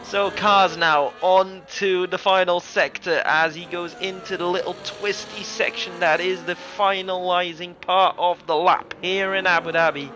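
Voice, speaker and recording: Guy from europe commentating online races live, sharp bright voice, jokey teasing banter, bit of noise behind him. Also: sits high, fairly even intonation, measured pace, few pauses. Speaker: sim racing league commentator and livestream host. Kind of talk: casual live race commentary mixed with chat banter.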